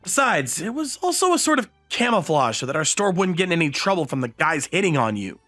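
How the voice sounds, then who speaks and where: An actor playing a modest, bashful high school boy who narrates and speaks in an earnest manga dub style. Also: very animated, brisk, sharp tone, faint music behind, heavily acted. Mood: explaining.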